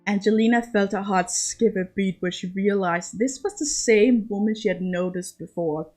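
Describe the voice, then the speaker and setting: A woman's voice: mid-range voice, moderate pace, darkish tone, slightly rough. A woman narrating a piece of fiction as an amateur audiobook-style reader. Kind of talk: scripted story narration.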